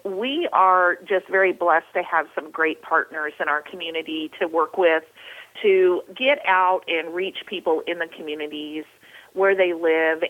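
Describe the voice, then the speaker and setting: Slightly low-voiced woman, explanatory educator. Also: a bit bright, rough recording. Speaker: community educator at an extension office, radio guest. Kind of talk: informational announcement in an interview.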